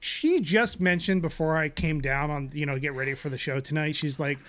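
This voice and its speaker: A man's voice: a little high, normal speed. Intense, big-voiced man host. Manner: intense, loud, talks with big energy. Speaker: podcast host. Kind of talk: casual chat.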